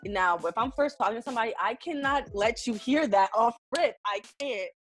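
A young woman co-hosting a podcast, telling stories casually, slangy and quick to laugh. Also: a little high, animated, faint music behind. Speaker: podcast co-host. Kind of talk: casual chat among friends.

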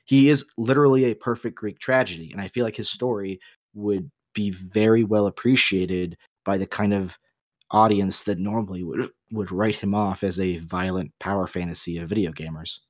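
A man with a fairly deep voice co-hosts a podcast discussion. He sets out his opinions in an articulate, measured way, stressing key words. The sound is very dry, with no room on it. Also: fairly even intonation, no room sound. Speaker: podcast co-host.

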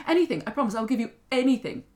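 A woman's voice: on the high side, lively intonation, very fast, darkish tone, voice pushed up, lightly acted. A woman voicing a desperate young miller's daughter in a fairy tale, pleading and stammering. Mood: begging. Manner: pleading, stammering, breathless delivery.

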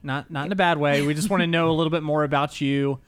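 A man co-hosting a casual podcast, running a quick fun question round. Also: a little high, steady tone, no echo. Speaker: podcast co-host.

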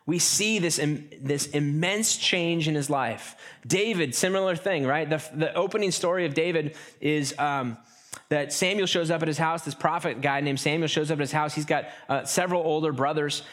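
A man preaching a sermon in a relaxed, conversational way, often restarting phrases and slipping into quick acted asides. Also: fairly high pitch. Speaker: pastor.

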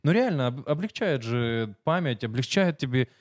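A man in his early thirties speaks in a middle-pitched, ordinary voice. He co-hosts a podcast and talks at length in a teasing, easygoing way. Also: lively intonation, normal speed. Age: 30s. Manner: talkative, teasing. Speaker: podcast co-host. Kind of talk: casual podcast chat.

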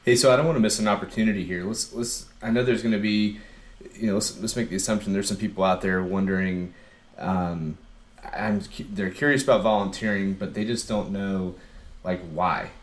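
Deep-voiced moderator in an echoey room. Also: male voice, steady tone, fairly quick. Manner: conversational, posing questions. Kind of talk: casual panel discussion.

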